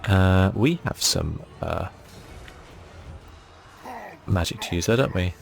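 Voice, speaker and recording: A man plays a game and comments softly in ASMR style. His voice is very low and full of fry. He thinks aloud in a relaxed way, with audible noise and ambience behind him. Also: takes it slowly. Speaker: gaming video host.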